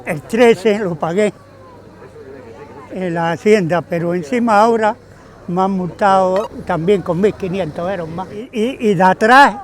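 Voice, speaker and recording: An older man from Spain complaining in an aggrieved way in a street interview. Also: high-pitched.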